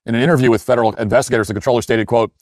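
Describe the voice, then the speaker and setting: A man hosting an opinion commentary show, speaking in an emphatic, critical way with deliberate phrasing and asides. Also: mid-range voice, steady tone, darkish tone, no room sound, studio quality.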